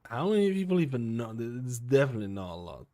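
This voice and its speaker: A man's voice: medium pitch, big pitch swings, fast talker, dark tone. A young man giving casual, joking gameplay commentary, rambling and swearing as he plays. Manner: rambling, joking, swears and says bro a lot. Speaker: game streamer.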